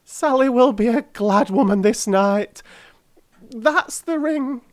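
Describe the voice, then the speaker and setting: A man's voice: very high voice, slow pace, dry recording, clean studio sound, voice pushed up. A man voicing a garrulous, wheedling old working-class London woman who mumbles and rambles in an audiobook. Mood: effusive relief. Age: older. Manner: mumbling, rambling, effusively grateful.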